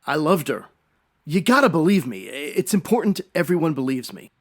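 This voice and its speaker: A man's voice: fairly high pitch, big pitch swings, brisk. A man playing a nervous, evasive husband under suspicion in a radio detective drama, hesitant and pleading. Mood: anguished pleading. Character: nervous, evasive husband cornered as a murder suspect. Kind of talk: old-time radio detective drama acting.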